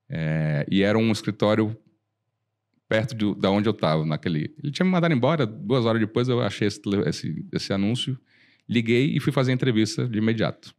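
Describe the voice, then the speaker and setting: Brazilian guy in his 40s, real deep voice, tells stories and acts out the dialogue. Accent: Brazilian Portuguese. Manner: animated storyteller, acts out dialogue, frequent restarts. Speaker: lawyer and podcast guest. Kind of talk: casual storytelling interview.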